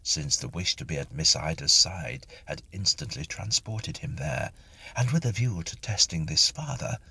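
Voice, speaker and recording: A man narrates a ghost story with theatrical care. His voice is deep and gravelly, with a bright, forward edge. He shapes each phrase precisely, like a practised literary storyteller. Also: lively intonation, normal speed, no room sound.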